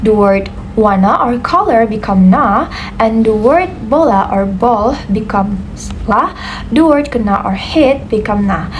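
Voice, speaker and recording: A young adult woman giving a student class presentation, often pausing with "uh" and "okay". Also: on the high side, expressive, a little hiss or hum, poor audio. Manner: hesitant, frequent "uh" and "okay".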